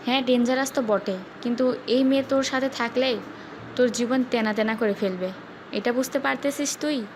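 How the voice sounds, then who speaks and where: A woman acts out an elder sister in a romantic audio drama, protective and scheming. Her voice is fairly high and firm, giving advice, with noticeable ambient noise behind it. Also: steady tone, a little fast, natural voice. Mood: firm, advising. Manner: firm, advising. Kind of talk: Bengali audio love-story drama.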